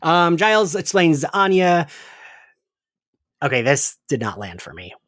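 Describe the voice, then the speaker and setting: An animated man in casual podcast conversation, with pitch that moves a lot. He is emphatic and sweary, often breaking off and restarting his sentences, in a dry recording. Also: measured pace, no echo. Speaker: podcast host. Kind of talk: casual chat, recapping an audio drama.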